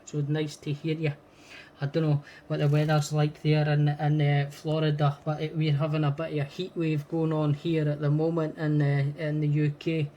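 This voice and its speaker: A man's voice: on the high side, a little mellow, muffled recording, slight background noise. A man with a Scottish accent chatting casually as an amateur radio operator, friendly and repeating phrases for emphasis. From Scotland. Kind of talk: casual radio contact chat.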